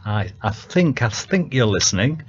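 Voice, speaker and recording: A man's voice: medium pitch, very animated, moderate pace. A man with a Northern English accent, chatting as a guest on a radio show about his song, hesitant and full of ums and restarts. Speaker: songwriter guest. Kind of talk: casual radio chat. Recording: dull lo-fi radio audio.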